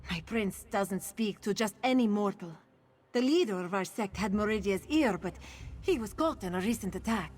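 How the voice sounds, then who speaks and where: A woman playing a guarded, solemn devotee of a goddess in a video game, speaking in a formal, measured, earnest way. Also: mid-range voice, lively intonation, darkish tone, a little hiss or hum, lightly acted. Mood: grave. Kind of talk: video game character dialogue.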